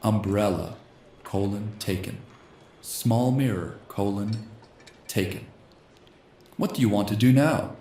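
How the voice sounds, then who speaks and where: Low slightly hoarse guy reading a text game aloud asmr style, says the punctuation, echoey room with a bit of hiss. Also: takes it slowly, pauses often, warm tone. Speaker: host.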